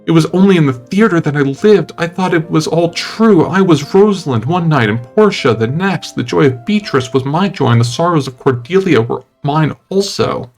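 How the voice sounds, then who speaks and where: Man putting on a high, rapturous young actress. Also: animated, normal speed, few pauses. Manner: lingering, tender, ecstatic. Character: rapturous, romantic young actress, breathlessly devoted. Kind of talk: dramatic audiobook character reading. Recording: music under the voice.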